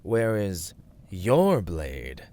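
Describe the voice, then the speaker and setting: A man playing a smug young villain warlord in an ASMR roleplay, teasing and condescending in formal, deliberate phrases. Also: low-pitched, wildly swooping pitch, a little mellow, full character voice. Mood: proud, commanding. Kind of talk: ASMR roleplay, scripted dramatic monologue.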